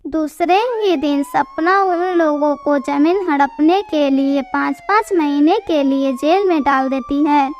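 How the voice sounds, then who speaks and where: A woman tells a scripted cartoon moral tale as its narrator. Her voice is very high and youthful in pitch, carrying the story in the third person. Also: measured pace, few pauses, highs cut off, soft background music.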